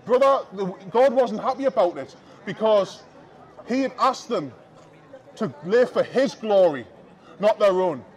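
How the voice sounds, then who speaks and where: A man's voice: high-pitched, lively intonation, measured pace. A man in his thirties with a Northern English accent, preaching an open-air sermon to passers-by on a busy shopping street through a small headset mic. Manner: preaching loudly to passers-by, calling out to the crowd.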